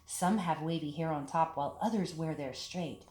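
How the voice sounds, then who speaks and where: A woman leading a children's storytime, talking and reading aloud in simple, deliberate phrases meant for young children. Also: low voice, darkish tone. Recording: echoey room with background hiss.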